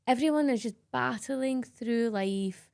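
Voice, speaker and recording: A woman's voice: fairly high pitch, measured pace, vocal fry, darkish tone. A Scottish woman hosting a podcast, talking candidly and emphatically in casual interview chat. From Scotland. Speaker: podcast host.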